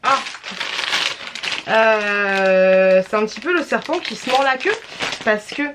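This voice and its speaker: A woman's voice: mid-range voice, animated, slow pace. A young woman chats into her camera at home, her voice stuffy and worn from a cold. She rambles, restarts and fills the gaps with "euh." A faint hiss sits under the recording. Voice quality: tired, stuffy. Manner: rambling, lots of fillers and restarts. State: has a cold. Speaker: vlogger. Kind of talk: casual vlog chat.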